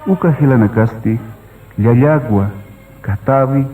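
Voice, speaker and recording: A male narrator reads a radio drama script in a mid-pitched voice. The sound is dull and lo-fi, with prominent music behind him. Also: a little slow.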